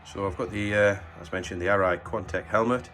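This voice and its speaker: A man's voice: fairly low pitch, fairly even intonation, a little fast, fairly bright tone, faint music behind. A man giving a casual, unscripted how-to demonstration in a matter-of-fact, hesitant way as he works with his hands. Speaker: presenter of a DIY installation tutorial.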